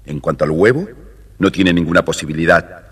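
A man's voice: deep voice, a little fast. A man narrating a scripted children's story as the storyteller. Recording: faintly noisy vintage story record.

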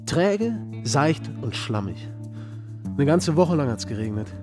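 A haunted young war veteran. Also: male voice, on the high side, sing-song, slow. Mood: brooding, rueful. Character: young male American Vietnam veteran, haunted, wry, self-doubting. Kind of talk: radio drama acting, storytelling. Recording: music behind the voice. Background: music.

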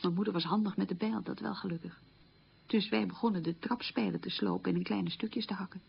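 Husky, creaky reflective middle-aged woman. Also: medium pitch, steady tone. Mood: wistful, emphatic. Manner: halting, reflective, emphatic repetition. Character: reflective woman recalling childhood hunger in wartime. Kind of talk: radio play monologue, conversational reminiscence. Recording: dull lo-fi radio recording.

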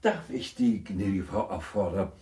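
An actor playing a courteous, devoted, timid gentleman apothecary in a period radio play, deferential and gallant in his formality. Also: deep voice, big pitch swings, a little slow, echoey room, heavily acted. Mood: flustered courtesy. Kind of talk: period radio drama acting.

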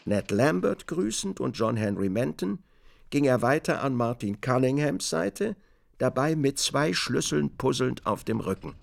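A man's voice: mid-range voice, very animated, measured pace, creaky. A man reading scripted literary narration for a radio drama.

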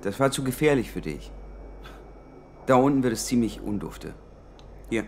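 An actor playing a blunt, laid-back friend who talks casually, a little distant from the mic. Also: male voice, medium pitch, animated, normal speed, a little hiss or hum, natural voice.

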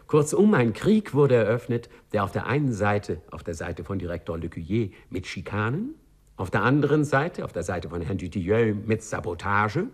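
A man narrating a 1950s German radio play as an urbane storyteller, measured, literary and ironic, with a husky voice. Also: mid-range voice. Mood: mock-dramatic. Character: urbane, wry male storyteller.

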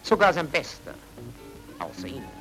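Viennese baron, courtly, slippery, theatrical, lively intonation, radio drama. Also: male voice, a little high, normal speed, faint music behind, rough recording. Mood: smoothly evasive. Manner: courteous, effusive, theatrical, evasive.

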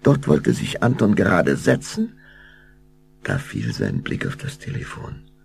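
An actor narrating a spooky children's tale with scripted, suspenseful delivery. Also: male voice, deep voice, huge pitch swings, unhurried, vocal fry, background noise, exaggerated voice.

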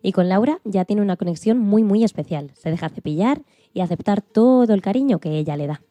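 A woman's voice: medium pitch, lively intonation, brisk, mellow, no echo. A woman co-hosting a radio show, telling things in an informal narrating style.

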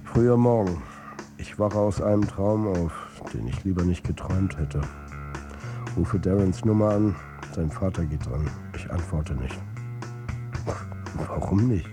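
A man's voice: deep voice, unhurried, pauses often, music in the background. An actor playing a troubled football coach and family man, narrating his own story in a confiding way in a radio drama. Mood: brooding, subdued. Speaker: narrator and lead character.

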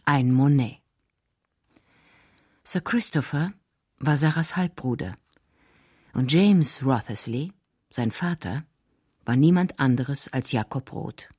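A woman narrating a scripted radio drama in measured, deliberate phrases. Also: deep voice, dark tone, slightly rough, dry recording, clean studio sound.

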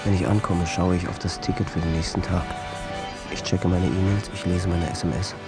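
A man's voice: low-pitched, level tone, a little fast. An actor playing an exhausted, stressed businessman on the verge of snapping, introspective and pressured, in a German radio drama. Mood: numb, disoriented. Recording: loud music behind the voice.

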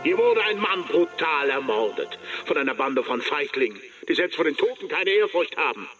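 An actor playing a pompous, boastful police chief who declaims a public speech in a radio drama. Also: male voice, a little high, very animated, moderate pace, fairly bright tone, muffled recording, soft background music. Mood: bombastic, defiant. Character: pompous, boastful male Ghanaian police chief addressing the press.

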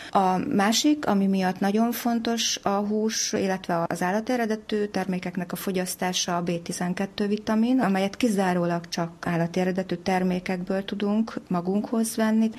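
A woman's voice: mid-range voice, fairly even intonation, hardly any pauses, darkish tone. A woman speaking as an expert guest, giving detailed explanations in an interview.

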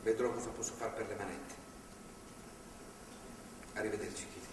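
An actor playing a fervent, controlling middle-aged priest in a stage drama, speaking in a measured, preachy, paternalistic way. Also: male voice, fairly low pitch, steady tone, close to an everyday voice. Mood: quiet, paternal. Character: fervent, controlling anti-abortion priest holding a woman captive. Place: theatre stage. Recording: echoey theatre hall, distant mic, faint noise.

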